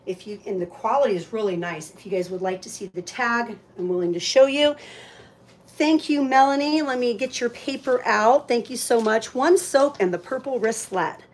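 A woman's voice: a little high, moderate pace. A woman hosting a live auction in casual, chatty talk, thinking aloud as she handles items. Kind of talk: casual livestream sales chat.

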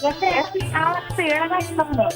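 A woman's voice: very high voice, normal speed. A woman, talking insistently and combatively on a phone call. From Karnataka. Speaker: prank call target. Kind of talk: heated phone conversation. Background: music.

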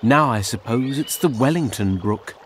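A male voice actor giving a theatrical, clearly articulated reading of poetry and literature. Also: medium pitch, expressive, moderate pace, a little hiss or hum.

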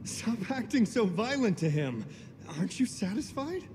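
An actor playing an earnest, exasperated young martial-arts fighter in a video game, with a plaintive, indignant manner. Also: male voice, high voice, very animated, normal speed. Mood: questioning. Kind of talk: video game cutscene voice acting. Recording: reverberant hall, noisy background.

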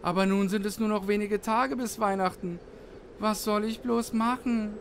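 Children's audio play: actor for kindly old Santa, high-voiced, fretful, sighing, noticeable background noise. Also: male voice, fairly even intonation, normal speed, gravelly. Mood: despairing. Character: worried, kindly old male Santa Claus.

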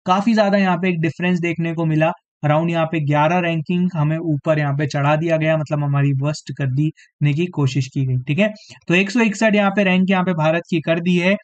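A man with a fairly high voice leads an online quiz class for exam students. He is a bit hoarse and talks straight to his viewers, checking in with them after nearly every point. The sound is dull and lo-fi, like a streamed lesson. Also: fairly even intonation, brisk. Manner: repeats "theek hai" as a tag, addresses students directly. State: slightly hoarse, clearing throat. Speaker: exam coaching teacher.

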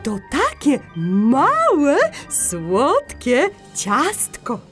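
Very high-pitched parrot schoolteacher. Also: female voice, wildly swooping pitch, fairly bright tone, faint music behind, exaggerated voice. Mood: explaining. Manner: theatrical, drawn-out, sing-song emphasis. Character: excitable female parrot schoolteacher, cheerful and instructive. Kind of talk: children's audio drama acting.

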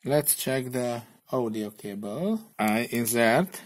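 Hungarian-accented man in a mid register, plain and a little halting, walking viewers through a kit build. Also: medium pitch, animated. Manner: plain, slightly halting non-native English. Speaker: electronics kit reviewer. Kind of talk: hobby electronics tutorial voice-over.

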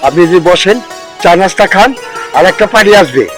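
Calm resort owner for web drama, male actor: fairly high voice, colloquial Bangladeshi accent, polite, off-mic. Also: a little fast, far from the mic, faint music behind. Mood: conciliatory.

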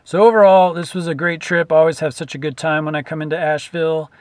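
A man's voice: fairly high pitch, flat delivery, quick pace, noisy background. A man in his thirties talking casually to a close camera from inside a car, easygoing with frequent "uh" fillers. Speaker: vlogger and musician. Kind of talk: casual travel vlog narration. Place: inside a parked car at night. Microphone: camera facing him at close range.

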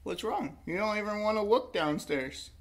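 A man's voice: sits high, expressive, moderate pace, fairly bright tone. A man casually chatting and coaxing as a pet vlogger, repeating simple questions, filmed on a handheld device indoors at home. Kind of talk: casual chat to camera and dog. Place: indoors at home, hallway and carpeted room. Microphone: handheld phone or camera behind the lens. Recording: noisy amateur home recording.

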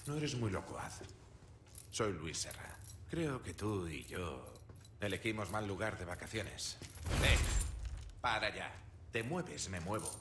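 Wry, drawling Spanish rogue, low-pitched. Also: male voice, very animated, slow, pauses often, exaggerated voice. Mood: sly, probing. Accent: European Spanish. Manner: sardonic, drawling, teasing. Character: wry roguish adult male captive, cocky and talkative. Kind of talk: video game cutscene acting. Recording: roomy echo, noisy with clinking chains.

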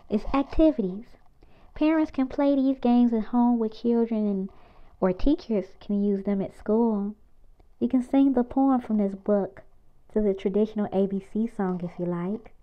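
A woman reads a children's picture book aloud, stretching each line out very slowly in a sing-song voice. Her voice sits fairly high and she points out signs eagerly for young listeners. The recording is a bit dull and lo-fi. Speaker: children's storyteller.

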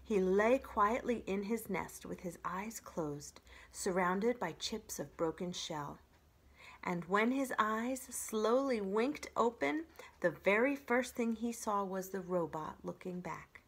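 A middle-aged woman reading a children's story aloud in an expressive storytelling style. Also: mid-range voice, slow, husky. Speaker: children's library story reader.

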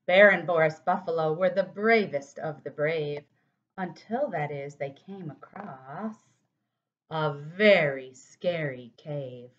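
A woman's voice: deep voice, slow. A woman in her thirties with an American accent, reading a children's storybook aloud expressively. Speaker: city council member reading to children. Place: home office, indoors. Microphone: computer microphone at desk distance. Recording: slightly dull webcam audio.

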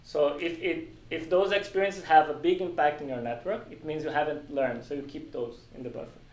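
A man's voice: a little high, steady tone, fairly quick, darkish tone. A man informally explaining technical material to a small group as a meetup presenter. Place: small glass-walled meeting room. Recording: echoey meeting room, distant mic, faint noise.

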